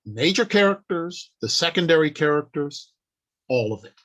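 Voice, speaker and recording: A man's voice: on the high side, animated, normal speed, a bit bright, muffled recording. A man giving an informal instructional talk as a writer, explaining things plainly and stressing key points, partly improvising from notes. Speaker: writer and convention panel speaker.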